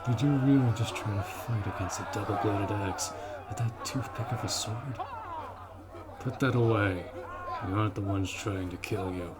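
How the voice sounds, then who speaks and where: A man's voice: fairly low pitch, over a noisy crowd, very noisy, natural voice. A man playing a stern, honorable orc warrior commander in a fantasy audio roleplay, speaking in a formal, commanding way. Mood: urgent, gruff. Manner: formal, commanding, measured delivery.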